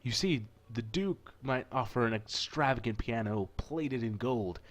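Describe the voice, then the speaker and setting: A man plays a wealthy gentleman patron from a visual novel. He is courtly, generous and sure of himself, speaking formally in a mid-pitched male voice. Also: a little slow, lightly acted. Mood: confident, teasing. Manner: formal, courtly, self-assured. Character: rich, suave young gentleman patron, generous and teasing. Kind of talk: visual novel character voicing.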